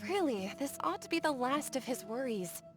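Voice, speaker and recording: A woman's voice: high voice, expressive, moderate pace, over music. A woman voicing a polite, earnest, independent educator in a video game, apologetic and mildly put-upon. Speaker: game character voice actor. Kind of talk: voiced game dialogue.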